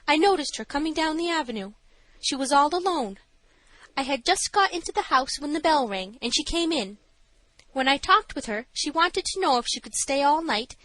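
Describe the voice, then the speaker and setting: A woman's voice: high-pitched, fairly bright tone, no echo, voice pushed up, low-quality recording. A woman voicing a timid, earnest female assistant matron giving testimony in an audiobook. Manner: pale, trembling, earnest.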